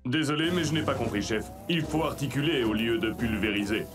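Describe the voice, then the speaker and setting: An actor playing an earnest, literal police robot in a children's cartoon, with formal, precise speech. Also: male voice, mid-range voice, brisk, over music, lightly acted. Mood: earnest, matter-of-fact. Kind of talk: French-dubbed children's cartoon acting.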